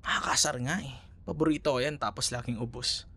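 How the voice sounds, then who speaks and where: A man's voice: medium pitch, a little fast, bright, forceful tone, husky. A man with a Filipino accent acting a friendly, teasing ex-boyfriend in an intimate, casual audio roleplay, sometimes hesitant in his phrasing. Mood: playful, wistful. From Philippines.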